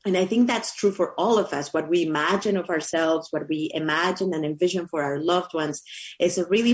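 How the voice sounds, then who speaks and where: A middle-aged woman from Colombia, a political candidate speaking earnestly and reflectively as a radio interview guest. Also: on the low side. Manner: earnest, reflective, with many fillers.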